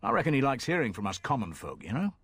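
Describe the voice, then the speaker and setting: A man's voice: mid-range voice, huge pitch swings, fast talker, creaky, a bit bright, slightly rough, dry recording, full character voice. An actor playing a plain-spoken, folksy commoner ferryman in a fantasy video game, chatting in rustic, colloquial speech. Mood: earnest, respectful.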